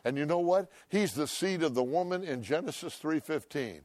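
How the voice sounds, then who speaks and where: An older man preaching a sermon, loud and emphatic, swinging between shouts and hushed asides. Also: fairly high pitch, expressive, moderate pace, no room sound. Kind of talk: impassioned sermon.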